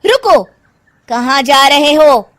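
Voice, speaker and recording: A woman puts on an imperious young bride who behaves like royalty in a comic tale. The voice is high and animated, bossy and commanding. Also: slow pace, heavily acted. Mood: haughty. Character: imperious young daughter-in-law acting like a queen. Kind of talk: comic story dialogue.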